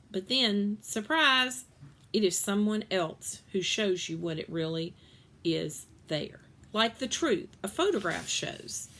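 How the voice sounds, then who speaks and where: A woman reading a children's novel aloud in an expressive storytelling style. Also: mid-range voice.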